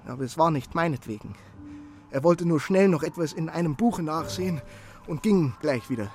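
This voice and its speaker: An actor playing an eager, servile messenger in a radio drama, speaking earnestly and apologetically, with halting repetitions. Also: male voice, on the high side, expressive, darkish tone, slight background noise, natural voice. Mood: apologetic, earnest. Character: eager, servile young male messenger reporting his efforts.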